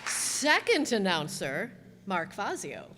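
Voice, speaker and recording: A woman's voice: mid-range voice, wildly swooping pitch, slow, over a noisy crowd. Woman director, casual curtain call, roomy hall with audience noise. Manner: chatty, playful. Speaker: troupe director thanking cast. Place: stage before a live audience. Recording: noisy live hall with audience. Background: audience murmur.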